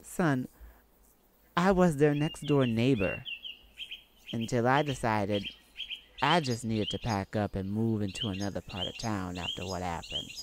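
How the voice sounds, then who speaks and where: A woman voicing a kindly, hesitant male neighbor in an ASMR roleplay, telling a story in a halting, folksy way. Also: rumbling low, background noise. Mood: wistful, folksy. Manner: folksy, halting, sighing between thoughts.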